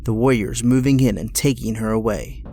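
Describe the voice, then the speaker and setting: A man's voice: on the low side, normal speed, creaky, mellow, a little hiss or hum. A man narrating an audio drama in a scripted, dramatic storytelling style.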